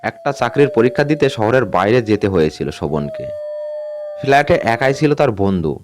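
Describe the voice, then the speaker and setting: A man narrating a scripted ghost story in a measured, suspenseful way. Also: mid-range voice, lively intonation. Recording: music bed under the voice. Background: background music.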